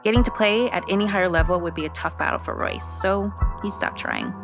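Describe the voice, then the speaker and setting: A young woman playing an earnest, analytical teenage girl detective, narrating a scripted audio drama in a measured, matter-of-fact way. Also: lowish voice, huge pitch swings, vocal fry, heavily acted. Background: prominent music.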